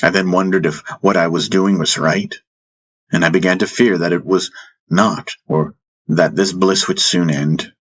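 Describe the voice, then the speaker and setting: A man narrating a first-person fantasy audiobook in a measured way, with dramatic pauses. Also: sits low, fairly even intonation, fairly bright tone. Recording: music bed under the voice. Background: background music.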